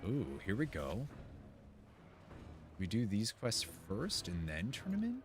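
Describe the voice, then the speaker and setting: A man's voice: fairly low pitch, huge pitch swings, slow pace, music in the background. A man giving casual, rambling and enthusiastic gameplay commentary as he chats with his viewers. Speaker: video game streamer.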